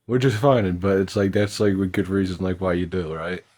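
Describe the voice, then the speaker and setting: A man calling into a live stream, with a deep voice and a hesitant way of talking full of fillers. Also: fairly even intonation, normal speed, a little mellow. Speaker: caller.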